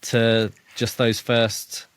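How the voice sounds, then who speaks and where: A man's voice: medium pitch, little pitch movement, drawn-out words, clear, resonant tone. A man co-hosting a book podcast, summarizing and chatting casually with stammering restarts. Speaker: podcast co-host.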